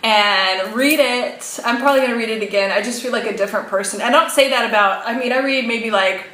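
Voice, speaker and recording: A woman's voice: fairly high pitch, fairly quick, bright, forceful tone, roomy sound, low-quality recording. A woman co-hosting a casual talk show, enthusiastic and chatty, filling her speech with "like". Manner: enthusiastic, chatty, heavy use of "like". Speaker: co-host. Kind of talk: casual chat.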